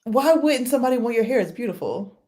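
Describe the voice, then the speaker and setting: A woman guest on a podcast interview, talking in a measured, thoughtful way with many fillers. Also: fairly high pitch, expressive, mellow. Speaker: guest, political volunteer. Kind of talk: casual interview.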